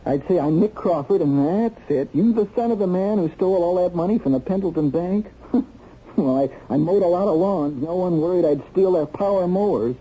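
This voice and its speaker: Mellow, defensive young American son. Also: male voice, high voice, normal speed. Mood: bitter, aggrieved. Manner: hesitant, aggrieved, uneasy. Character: defensive, embittered young son of a jailed man. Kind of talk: 1970s radio drama acting. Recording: muffled, noisy old radio broadcast.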